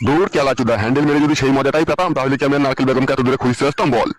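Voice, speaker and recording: Man acting lovelorn uncle, comic cartoon, melodramatic, grumbling, crude, quick-talking. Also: mid-range voice, natural voice. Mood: bitter, grumbling. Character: lovelorn, crude uncle pining for his runaway sweetheart.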